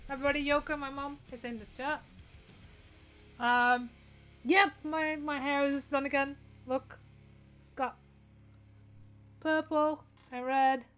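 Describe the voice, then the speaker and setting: A woman's voice: high-pitched, fairly bright tone. A woman with a Dutch accent, touched by British English, chatting casually with her stream chat while working on a sewing project, rambling and restarting often. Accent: Dutch, with some British English. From Netherlands.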